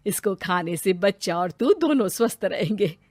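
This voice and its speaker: A woman's voice: medium pitch, animated, moderate pace, dry recording, close to an everyday voice. A woman voicing a doting, middle-aged mother-in-law in a moral story, motherly and coaxing with a sly streak. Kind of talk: animated moral story dialogue.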